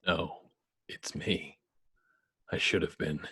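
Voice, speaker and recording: Guy doing brooding masked hero, super low raspy voice, grim, few words. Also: fairly even intonation, slow, bright, forceful tone, heavily acted. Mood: grieving. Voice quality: husky. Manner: terse, grave. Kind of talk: amateur superhero script table read.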